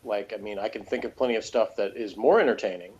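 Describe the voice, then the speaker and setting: A man's voice: fairly low pitch, fast, a little mellow, breathy edge, highs cut off. A man giving a casual, conversational TV review, explaining and arguing his points at length. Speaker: podcast reviewer.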